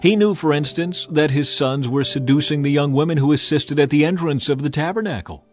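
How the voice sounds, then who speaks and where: Man narrating scripture, measured and solemn. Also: a little high, soft background music.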